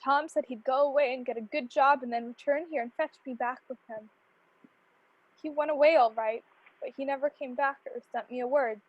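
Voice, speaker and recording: A woman's voice: high-pitched, fairly even intonation, fairly quick, no echo, lo-fi sound. A woman playing a forlorn, proud young servant girl in a radio play, plaintive and earnest.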